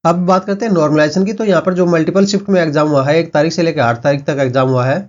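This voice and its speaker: A man runs through exam cutoffs and vacancies at a very fast clip, looping back on the same filler phrases. His voice sits a little high. The recording is dull and muffled. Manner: rapid, repetitive filler-heavy delivery. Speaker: exam coaching presenter. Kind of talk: explainer lesson.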